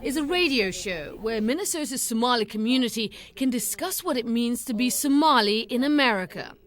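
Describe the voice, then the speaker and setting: A woman presenting a television news magazine, reading scripted narration in a measured, formal way. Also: a little high, animated. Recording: voice-over with music behind.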